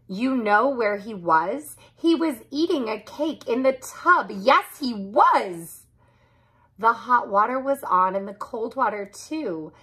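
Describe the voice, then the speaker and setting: A woman in her thirties narrates a children's story expressively. Her pace is unhurried, and her voice is bright with a slightly husky grain. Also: fairly high pitch, animated. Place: small furnished room indoors.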